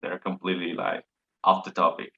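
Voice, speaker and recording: A lower-pitched man with a Puerto Rican Spanish accent, talking casually in an interview. He speaks English as a second language, restarting phrases and repeating words. The sound is a little dull and muffled. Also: level tone, bright, forceful tone. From Puerto Rico. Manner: halting, repeats words, frequent laughs. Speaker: interview guest, engineering exam taker.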